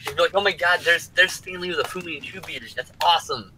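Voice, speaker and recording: A man's voice: on the high side, fast talker, sharp tone, slight background noise, rough recording. A man co-hosting a casual geek chat stream, riffing loosely and swearing casually.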